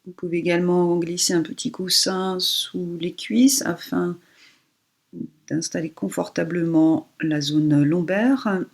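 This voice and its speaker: Very slow, breathy woman guiding relaxation. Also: lowish voice, steady tone. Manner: soothing, unhurried instructions with pauses. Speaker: yoga instructor. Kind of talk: guided relaxation meditation.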